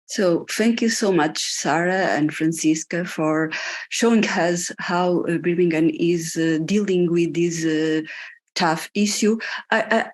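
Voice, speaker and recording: A woman's voice: on the low side, takes it slowly. A woman moderating an online event over a video call, hesitant with many fillers.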